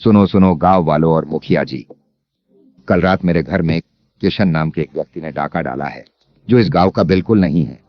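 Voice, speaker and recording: Very deep, sly village landlord acted by a man. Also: moderate pace. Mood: feigned grievance. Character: cunning, greedy village landlord, scheming and falsely wronged. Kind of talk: animated moral story character acting. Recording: dull lo-fi sound under music.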